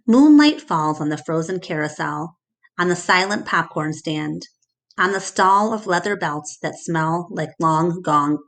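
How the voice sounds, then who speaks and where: A woman reading a children's story aloud as expressive narration. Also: lowish voice, measured pace.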